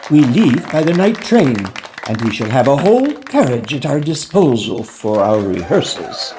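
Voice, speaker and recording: Florid Victorian impresario, wildly swooping voice. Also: male voice, medium pitch, sing-song, moderate pace, crowd noise, background noise. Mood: grand, delighted. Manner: florid, grandiose, theatrical. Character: flamboyant, pompous, flustered Victorian opera company impresario. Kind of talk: period comedy audio drama acting.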